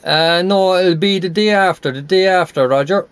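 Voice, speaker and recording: A man's voice: sits high, normal speed. An Irish man from Cork chatting as a CB radio operator, repeating and spelling out words in radio phonetics. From Ireland. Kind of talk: radio contact chat. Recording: noisy CB radio shack recording.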